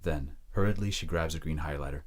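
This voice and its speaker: Very deep-voiced male drama narrator. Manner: measured, descriptive reading. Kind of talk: scripted audio drama narration.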